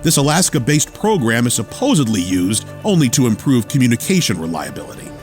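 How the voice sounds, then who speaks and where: A man's voice: mid-range voice, big pitch swings, fairly quick, soft background music, natural voice. A man narrating a radio theater drama in a scripted storytelling style.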